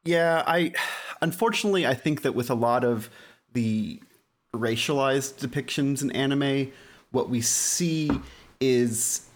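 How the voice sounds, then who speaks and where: A man in casual podcast conversation, talking in an animated, sarcastic way and quick to laugh. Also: medium pitch. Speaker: podcast co-host discussing anime. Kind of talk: casual chat.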